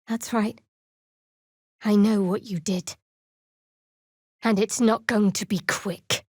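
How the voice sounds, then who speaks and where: A woman's voice: medium pitch, steady tone, takes it slowly, darkish tone, slightly rough, no echo. An actress voicing a guarded, sardonic young female cleric in a video game, with a dry, wry manner. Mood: cold, threatening. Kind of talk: video game character voice acting.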